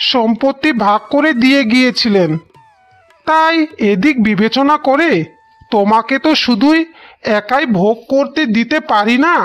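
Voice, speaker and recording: A woman's voice: high voice, smooth. A woman voicing a greedy, freeloading young husband as a smug, demanding cartoon villain. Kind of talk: melodramatic cartoon villain acting. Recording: music behind the voice.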